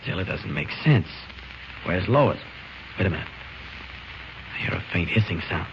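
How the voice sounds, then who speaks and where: Superhero: deep voice, resolute self-narration, muffled vintage serial sound. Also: male voice, animated, normal speed, vocal fry. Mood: resolute, searching. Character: determined, heroic male superhero thinking aloud. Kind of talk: 1940s radio acting. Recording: muffled, noisy old radio recording.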